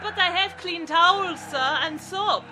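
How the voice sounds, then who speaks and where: A woman's voice: extremely high pitch, unhurried. A woman playing a polite but fervently nationalistic German hotel maid, with a German accent and a pointed, insistent manner, in vintage television drama style. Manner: deferential yet insistent, pointed. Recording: old TV soundtrack with music. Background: dramatic music.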